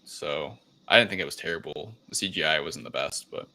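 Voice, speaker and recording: Male podcast co-host, low and forceful, casual halting recap style. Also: vocal fry. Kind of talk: casual film review chat.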